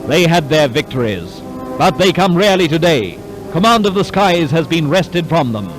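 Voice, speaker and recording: A man's voice: a little high, big pitch swings, moderate pace, low-quality recording. A man reading scripted wartime newsreel narration in a clipped, dramatic, declamatory way. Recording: 1940s newsreel soundtrack, music behind. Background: orchestral newsreel music.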